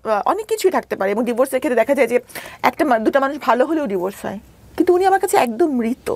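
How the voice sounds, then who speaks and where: A woman's voice: high voice, a little mellow. A woman with a Bangladeshi accent telling her personal story as an interview guest, emphatic and insistent, often repeating her points.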